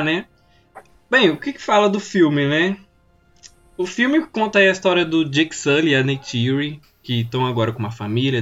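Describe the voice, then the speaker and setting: A man runs a casual podcast chat and keeps steering his friends along. His voice sits at an ordinary pitch. The audio is slightly dull and lo-fi, with soft music playing underneath. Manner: lively, bossy ringleader of the group. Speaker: podcast co-host.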